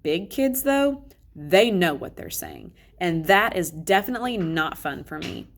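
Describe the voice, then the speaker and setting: Female storybook reader, slightly low voice, conversational and expressive first-person narration. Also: a little slow, creaky, a little hiss or hum. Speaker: read-aloud reader. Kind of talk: expressive children's novel narration.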